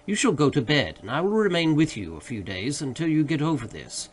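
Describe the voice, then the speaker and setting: A man voicing a worried, sensible gentleman friend who remonstrates earnestly and formally in a literary audiobook. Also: fairly high pitch, big pitch swings, fairly quick, low-quality recording. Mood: concerned. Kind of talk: literary audiobook character dialogue.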